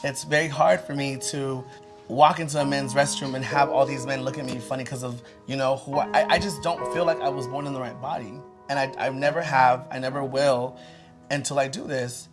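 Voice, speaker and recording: A man's voice: mid-range voice, fairly even intonation, fairly quick. A man who works as a fashion stylist, talking in a sassy, campy, dramatic way in reality show confessionals, with music behind him. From El Salvador.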